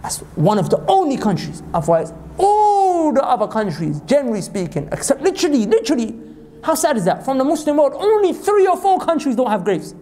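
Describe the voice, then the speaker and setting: A man from Pakistan delivering an impassioned sermon as a preacher, reciting scripture quickly and climbing to high emphatic peaks. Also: very animated, warm tone, slight background noise. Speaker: Islamic preacher.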